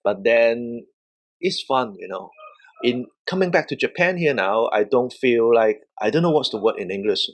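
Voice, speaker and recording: A man's voice: medium pitch, expressive, normal speed. A man from Hong Kong, a native Cantonese speaker with a Hong Kong accent, telling stories casually as an interview guest. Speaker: professional wrestler, interview guest. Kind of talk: casual storytelling.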